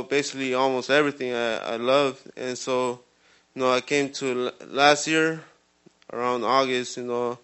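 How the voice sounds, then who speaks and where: Guy in his twenties telling his story, flat and even, halting with fillers, resonant voice. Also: medium pitch, clean tone. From Guatemala. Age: 20s. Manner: halting, many fillers and restarts. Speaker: ministry program resident giving testimony. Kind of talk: personal testimony.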